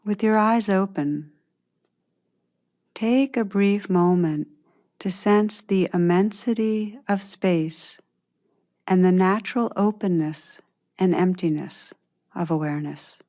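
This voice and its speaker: A woman leading a guided meditation in a gentle, unhurried manner, leaving long pauses between phrases. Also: medium pitch.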